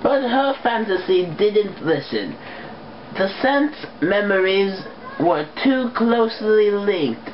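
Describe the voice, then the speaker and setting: A woman reading a story aloud as an amateur, halting and stumbling over harder words. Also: medium pitch, lively intonation, lo-fi sound, slight background noise. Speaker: amateur fan fiction reader.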